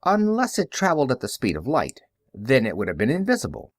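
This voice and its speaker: Man playing an earnest young reporter, lively pitch. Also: fairly quick, dry recording, studio quality. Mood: skeptical. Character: young newspaperman, earnest, skeptical, matter-of-fact.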